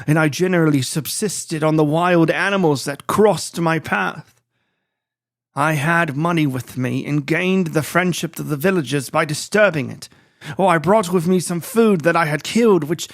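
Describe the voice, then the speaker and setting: A man's voice: fairly high pitch, vocal fry, no echo, clean studio sound. A man voicing a tormented, obsessed man recounting his vengeful pursuit in solemn, dramatic audiobook narration of a gothic novel. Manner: solemn, literary, measured phrasing with dramatic emphasis.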